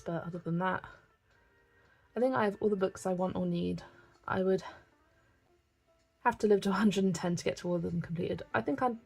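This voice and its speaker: Husky low-voiced woman chatting. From England. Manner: chatty, relaxed, thinking aloud with small laughs. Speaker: colouring livestream host. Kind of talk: casual chat while colouring, reading live comments.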